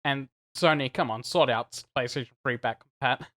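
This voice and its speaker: A man co-hosting a casual gaming podcast, animated and chatty, laughing between points and restarting sentences often. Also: mid-range voice, moderate pace. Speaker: podcast co-host.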